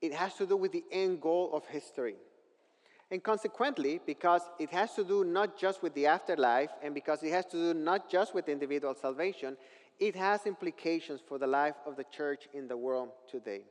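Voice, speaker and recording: Preacher, male, Mexican accent, native Spanish speaker, slightly high voice, measured and earnest explaining, long hall reverb. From northern Mexico. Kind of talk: sermon with scripture reading.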